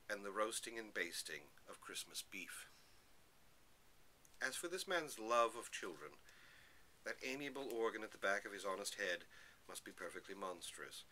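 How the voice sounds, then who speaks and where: A man reads an old literary lecture aloud in a very bright, forceful voice. His delivery is theatrical and emphatic, with pitch that swings widely from phrase to phrase. There is some hiss on the recording. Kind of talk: dramatic literary reading of a Victorian lecture. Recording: hissy, noisy recording.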